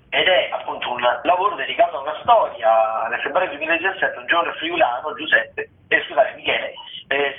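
A man, a theatre critic, calling in to a radio show and running loosely through the week's theatre listings with many fillers and self-corrections. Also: on the high side. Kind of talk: casual radio rundown of weekly theatre listings. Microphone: phone call into radio studio. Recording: tinny phone line with echo.

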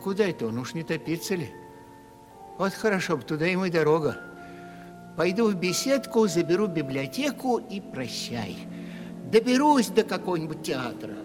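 Radio comedy: flighty selfish sidekick, reedy high register, animated, echoing, with clear music underneath. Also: male voice. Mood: gleeful scheming. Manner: brisk muttering to himself, flippant. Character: callous, flighty comic vagabond sidekick. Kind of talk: theatrical comic radio play acting. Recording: reverberant radio drama recording.

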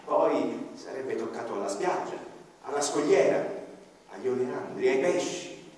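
An actor playing an ordinary man who mourns his lost seaside village, in a lyrical monologue that swings from loud to hushed. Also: medium pitch, lively intonation, a little slow, a little hiss or hum, natural voice. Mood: bitter. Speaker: actor performing a radio-theatre monologue. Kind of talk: theatrical first-person monologue with violin accompaniment. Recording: echoey hall from far off, with violin.

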